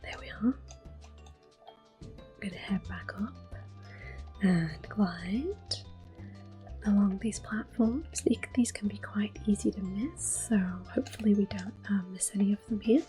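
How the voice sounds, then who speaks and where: A woman giving gentle, unhurried ASMR game commentary in a soft, breathy, reassuring voice. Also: medium pitch, faint music behind. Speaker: ASMR gameplay host.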